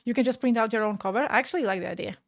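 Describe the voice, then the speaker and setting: A woman's voice: fairly high pitch, racing, gravelly, no room sound, clean studio sound. A young woman in her twenties from Latvia, speaking with a Latvian accent, chatting casually and frankly with her live-stream viewers, often trailing off mid-word.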